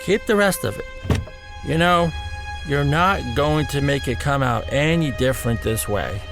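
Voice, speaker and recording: An actor playing a placid, cryptic cab passenger in a radio drama, laconic and eerily matter-of-fact. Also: male voice, on the high side, unhurried, creaky, over music. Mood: mildly protesting. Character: calm, cryptic, grinning male passenger, unbothered by death. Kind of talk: old-time radio drama acting.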